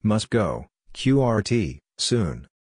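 A man's voice: on the low side, big pitch swings, takes it slowly, noisy background. A man reading short, separate scripted phrases as an instructor for a listening drill. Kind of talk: scripted phrase readout for Morse code drills.

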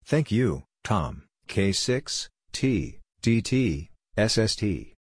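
A man with a fairly low voice announces code practice very slowly. He reads out letters, numbers and short phrases in a clipped, impersonal way, with a very dry, close sound. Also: very animated, slightly rough, no echo. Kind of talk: reading out call signs and short phrases, letter by letter.

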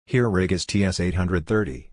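Low-voiced man reading drill phrases. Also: a little mellow. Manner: measured, isolated short phrases. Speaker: Morse code practice instructor. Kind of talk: scripted phrase drill, read aloud.

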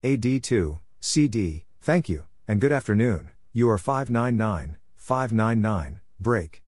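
A man reading scripted radio practice exchanges as an instructor, in short, deliberate, repeated phrases. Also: lowish voice, lively intonation, darkish tone, no room sound, clean studio sound. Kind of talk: scripted radio call-sign drill, short spoken phrases.

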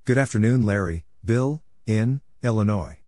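A man reading scripted short exchanges as a practice narrator, deliberately spelling out letters and numbers one at a time. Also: on the low side, darkish tone, no echo. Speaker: narrator of Morse code practice.

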